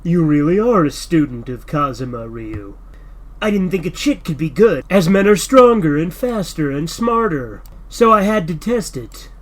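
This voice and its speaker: A man voicing a cocky, chauvinist police officer in a cartoon parody dub, in an animated manner. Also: fairly high pitch, normal speed, soft background music, natural voice. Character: cocky, chauvinist male Hong Kong cop.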